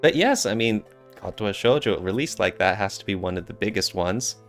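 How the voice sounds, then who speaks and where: A man's voice: fairly low pitch, lively intonation, a little fast, soft background music. A man talking casually and explaining things as a podcast co-host.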